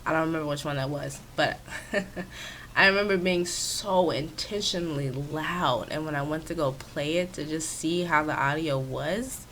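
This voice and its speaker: Low, raspy woman rambling casually. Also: moderate pace, a bit bright, a little hiss or hum. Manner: rambling and chatty, with many fillers and self-mocking laughs. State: stuffy from sinus trouble. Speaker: video creator. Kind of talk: casual commentary over a speed-build. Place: home office in an apartment. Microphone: new sensitive desk microphone.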